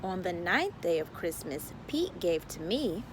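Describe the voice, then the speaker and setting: A woman hosting a children's story time, reading a picture book aloud in a playful, drawn-out sing-song delivery. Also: fairly low pitch, big pitch swings, noisy background.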